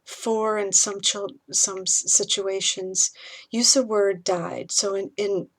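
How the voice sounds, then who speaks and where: A woman speaking as an author, gently instructive, explaining and reading aloud in a measured storytelling style. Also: mid-range voice, steady tone. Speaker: author and speaker on pet loss.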